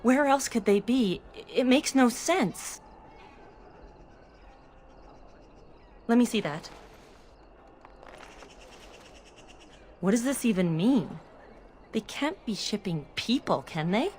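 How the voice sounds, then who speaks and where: A woman's voice: a little high, expressive, moderate pace, a little mellow, a little hiss or hum, natural voice. An actress playing a worried, determined townswoman in a fantasy game, earnest and urgent. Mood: worried, bewildered. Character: worried, determined female city-dweller seeking missing people.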